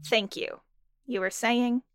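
An exasperated non-binary ghost hunter in an audio drama. The voice is slightly high, slow and correcting. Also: female voice, fairly even intonation, smooth, no room sound, soft background music.

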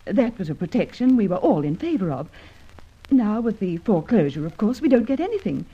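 A woman's voice: mid-range voice, big pitch swings, fairly quick, mellow, breathy edge. A woman playing a young farmer's daughter in an old radio drama, earnest and proper, troubled but composed. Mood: earnest, resigned. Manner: proper, earnest, well-spoken. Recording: dull old radio recording.

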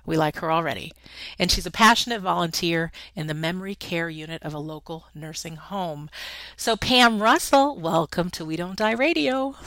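A woman's voice: lowish voice, normal speed, fairly bright tone, no echo, low-quality recording. A woman hosting an interview podcast, upbeat and emphatic as she introduces and questions her guest. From Connecticut. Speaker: podcast host.

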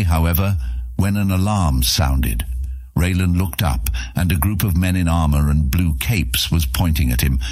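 Deep, husky, expressive male storyteller. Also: no echo. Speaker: audiobook narrator. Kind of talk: scripted narration of an epic fantasy story.

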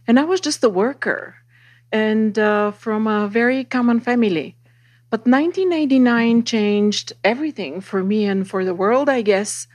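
A woman in her fifties from Bulgaria speaking on a panel, her voice slightly high. She recalls the past in an earnest, reflective way. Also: normal speed, a little mellow. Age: 50s. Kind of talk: personal recollection on a panel.

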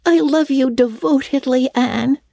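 A high, mellow young orphan girl, played by a woman narrator speaks with great romantic earnestness. Her delivery is solemn and theatrical, with flowery old-fashioned phrasing, as in a dramatic storybook reading. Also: fairly even intonation, a little slow, no echo, studio quality. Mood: amazed, emotional.

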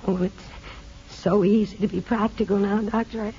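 An actress playing a distraught, pleading young wife and mother in 1950s American radio drama, speaking emotionally and haltingly. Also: mid-range voice, steady tone, mellow, lightly acted. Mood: bitter, anguished. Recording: dull old radio broadcast recording.

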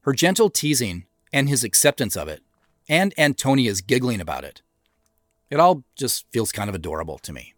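An American man giving measured, thoughtful director's commentary on an audio drama he created, in a reflective scripted style. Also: medium pitch, very animated, no echo, clean studio sound. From United States, Pacific Northwest.

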